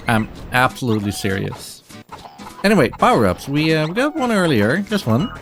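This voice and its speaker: A man's voice: a little high, very animated, normal speed, fairly bright tone, faint music behind. A man giving casual live speedrun commentary, explaining things in a hesitant, dryly humorous way.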